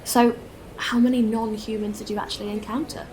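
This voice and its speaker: A woman's voice: fairly high pitch, level tone, fairly quick, a little mellow, lightly acted, rough recording. A woman playing a candid, friendly spacefarer in a sci-fi audio drama, talking in a casual, conversational way. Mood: friendly, curious. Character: candid, weary but friendly female spacefarer.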